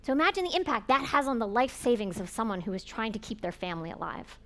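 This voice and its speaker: A woman's voice: on the high side, very animated, fast. A woman giving an earnest, explanatory presentation, speaking directly to her audience. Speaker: conference speaker. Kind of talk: presentation talk.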